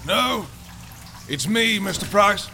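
Man acting a gravelly, menacing old miner. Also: sits high, animated, takes it slowly, sharp tone, a little hiss or hum, exaggerated voice. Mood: cold menace. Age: older. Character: menacing, obsessed old male Cornish-mine miner, half mad. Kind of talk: radio drama acting.

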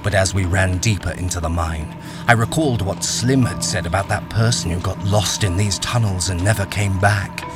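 A man's voice: low voice, normal speed, lightly acted. An actor playing a haunted miner, narrating his ordeal in a brooding, suspenseful radio-drama style. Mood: dread. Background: music.

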